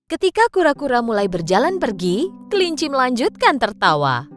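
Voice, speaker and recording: A woman narrating a scripted children's fable in an expressive storytelling style. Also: sits high, a little fast, faint music behind.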